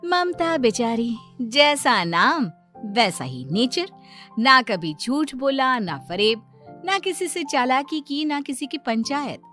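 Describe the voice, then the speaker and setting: A woman's voice: high voice, sing-song, slow, sharp tone, soft background music. A woman narrating a scripted moral story as a storyteller.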